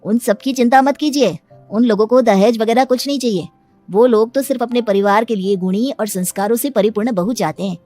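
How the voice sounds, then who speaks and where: A woman's voice: a little high, fairly quick, warm tone, faint music behind, natural voice. A woman voicing a polite, reassuring male matchmaker in a cartoon moral story, with a persuasive manner.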